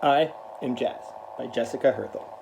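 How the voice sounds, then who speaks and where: A man speaking to children in an earnest, careful, deliberate way, as in a read-aloud. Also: mid-range voice, creaky, lo-fi sound, noisy background. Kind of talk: read-aloud of a children's picture book with spoken introduction.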